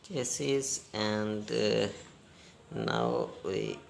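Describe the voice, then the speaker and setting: Slow, gravelly man giving halting instructions. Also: on the low side, wildly swooping pitch, a little hiss or hum. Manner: halting, step-by-step instructions in short phrases. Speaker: repair tutorial host. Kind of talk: unscripted hands-on repair demonstration.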